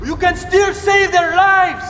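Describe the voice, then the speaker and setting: A man playing an oily, theatrical traitor, calling out loudly in a video game. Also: extremely high pitch, level tone, slow, big-room sound, slight background noise, full character voice. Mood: urgent, pleading. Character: oily, shouting Spanish traitor, theatrical villain. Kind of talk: video game cutscene acting.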